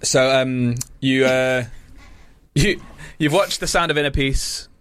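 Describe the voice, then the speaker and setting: A man's voice: mid-range voice, slow, a bit bright, smooth. A young English man hosting a casual comedy chat, teasing his guest with dry banter. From England. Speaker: podcast host.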